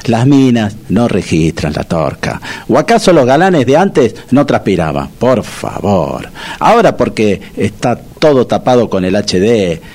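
A man voices a vain, down-on-his-luck divorcé in a comic radio play, all wounded pride and complaint. His low, husky voice swoops widely, and his porteño accent is strong. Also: big pitch swings, measured pace, darkish tone, heavily acted. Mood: complaining. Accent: Rioplatense Spanish. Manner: whiny, melodramatic complaining. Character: Tito, broke divorced Buenos Aires man, vain and touchy. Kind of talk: comic radio drama acting.

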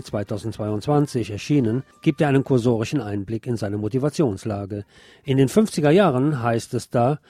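A man narrating a scripted obituary tribute as a radio host, in a measured, formal reading manner. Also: mid-range voice, warm tone, no room sound.

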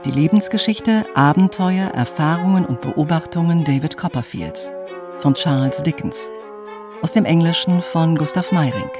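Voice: medium pitch, expressive, moderate pace. An announcer giving a scripted introduction to a literary radio programme. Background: prominent violin music.

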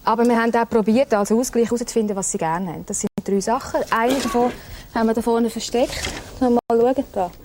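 A woman's voice: on the high side, a little mellow, a little hiss or hum. Woman hosting a talk show. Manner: chatty, slightly halting presenter with fillers.

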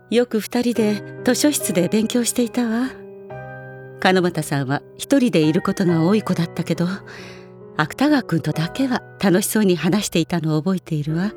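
A woman playing a gentle but guarded schoolteacher who recalls former pupils in an audio drama. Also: medium pitch, moderate pace, soft background music, lightly acted. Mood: regretful, explaining. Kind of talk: Japanese audio drama acting.

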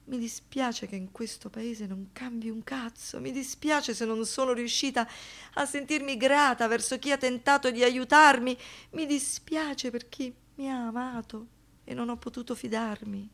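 Anguished woman with a bright, high voice. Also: steady tone, moderate pace. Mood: bitter, broken resignation. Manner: impassioned, swinging from hushed murmurs to shouted outbursts. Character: anguished, defiant woman, survivor of a brutal assault. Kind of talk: theatrical radio monologue.

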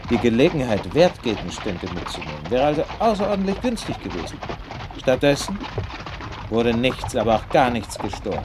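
Man playing an animated, confident gentleman detective. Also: mid-range voice, background noise, natural voice. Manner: precise, assured, inquisitive. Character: confident, probing gentleman detective, dryly superior. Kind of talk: German radio drama acting.

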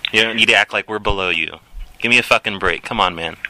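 A man making a prank phone call as a hotel worker, deadpan and mock-helpful, sometimes turning indignant. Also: on the low side, fast talker. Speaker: prank caller posing as hotel housekeeping. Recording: noisy phone line.

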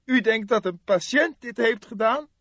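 A distressed young woman in an audiobook, voiced by a male narrator, anxious and hesitant. The voice is pitched far up and swings about, on a slightly dull recording. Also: lively intonation, moderate pace, a bit bright, husky, no room sound, exaggerated voice. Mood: anxious, puzzled. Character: distressed young woman, a doctor's live-in assistant.

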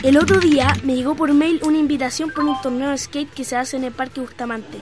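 An eager young schoolkid voiced by a woman speaks in a high voice with a Chilean accent. The talk is colloquial and youthful, in the manner of an educational radio play, over light music. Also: steady tone, a little fast, close to an everyday voice. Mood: planning. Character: eager schoolchild fascinated by aliens. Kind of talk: scripted educational radio drama.

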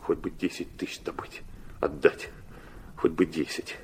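An actor playing an agitated, querulous prince in a Russian classic audio drama, muttering and breaking into fretful outbursts. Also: male voice, extremely low pitch, steady tone, a little slow, breathy edge, full character voice. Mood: irritated, anxious.

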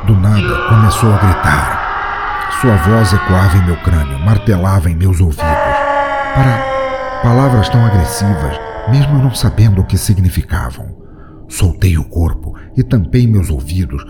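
A man narrating a first-person horror story in a measured, grave way. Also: sits low, sing-song, loud music behind the voice.

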